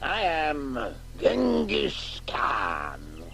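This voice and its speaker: A man's voice: mid-range voice, wildly swooping pitch, very slow, gravelly, fairly bright tone, a little hiss or hum, exaggerated voice. A man acting a menacing, imperious warlord in a radio play, proclaiming threats in a grandiose manner.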